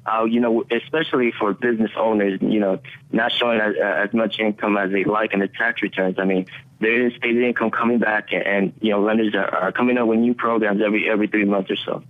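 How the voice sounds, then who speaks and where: A man whose first language is Spanish, a mortgage loan officer calling into a radio show and speaking haltingly with many fillers. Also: fairly low pitch, fairly even intonation. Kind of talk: casual radio interview. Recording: tinny phone line.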